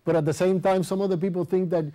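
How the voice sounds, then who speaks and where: A man hosts a conversational group discussion, putting questions and rephrasing answers. His voice sits a bit high for a man and has a very mellow, unforced tone. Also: flat delivery, fast talker. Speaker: interviewer leading a student discussion.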